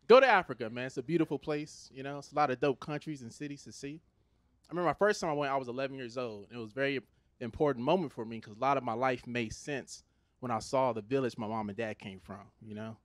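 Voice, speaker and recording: A man from Los Angeles in his thirties with an American accent, performing a stand-up comedy set on a theater stage into a handheld mic, animated and conversational. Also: mid-range voice, quick pace, no room sound. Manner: animated, conversational, switches into put-on Nigerian voices for his parents.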